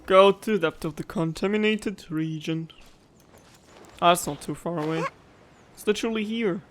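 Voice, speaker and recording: A young man doing casual gameplay commentary in a chatty, excitable, rambling way. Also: high-pitched, moderate pace, a little hiss or hum. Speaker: gaming YouTuber.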